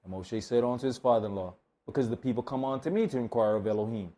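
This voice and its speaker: A man serving as scripture reader at a Bible study, measured and plain in delivery. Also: medium pitch, mellow. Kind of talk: reading scripture aloud, brief comments.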